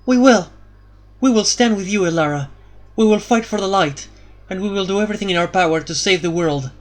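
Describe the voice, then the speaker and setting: A man putting on a determined young woman fighter, pitched far above his own voice and ringing out loud. Her words come clear, strong and declaiming. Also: a little fast, natural voice. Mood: resolute.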